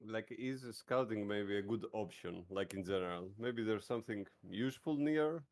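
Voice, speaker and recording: A man's voice: on the low side, moderate pace, darkish tone. A man talking casually as a player in a tabletop roleplaying game.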